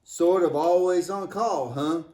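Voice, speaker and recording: A man's voice: fairly high pitch, measured pace, mellow, close to an everyday voice. Echoey, cheeky boy puppet played by a man. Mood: curious, joking. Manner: playful, joking, exaggerated exclamations. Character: Michael, cheeky, wisecracking, imaginative boy puppet. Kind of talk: scripted church puppet show dialogue. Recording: echoey room.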